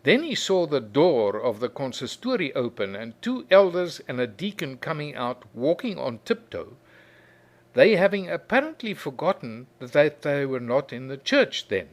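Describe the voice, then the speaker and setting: A man reading a short story aloud in an expressive, measured way, drawing out phrases for emphasis. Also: a little high. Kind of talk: expressive literary storytelling narration.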